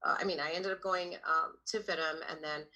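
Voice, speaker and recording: A young woman from Los Angeles chats as an interview guest, her voice bright yet sitting a little low. She talks loosely, stringing thoughts together with constant fillers. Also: steady tone, moderate pace, rough recording. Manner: filler-heavy, lots of "like" and "um". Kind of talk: casual interview chat.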